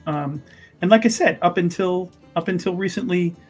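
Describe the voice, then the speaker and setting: A man's voice: high voice, normal speed, a little mellow, husky, soft background music. A man playing a polite, learned university official who rambles hesitantly and anxiously reassures in a tabletop roleplaying game. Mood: polite, nervous. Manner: hesitant, repetitive, placating.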